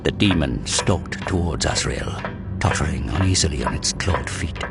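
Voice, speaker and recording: Deep-voiced male audio drama narrator. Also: animated, normal speed, gravelly, loud music behind the voice.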